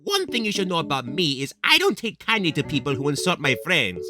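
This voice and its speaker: A cocky, touchy cartoon hero , voiced by a man, boasts obliviously with hugely animated swings in pitch. Music runs clearly behind the voice. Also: brisk. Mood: indignant. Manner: cocky, indignant. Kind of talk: animated comedy acting.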